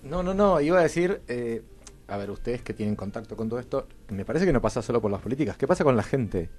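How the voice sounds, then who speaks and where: A man's voice: medium pitch, animated, fast, a little mellow, soft background music. A man with a Rioplatense Argentine accent in a radio panel discussion, putting questions informally.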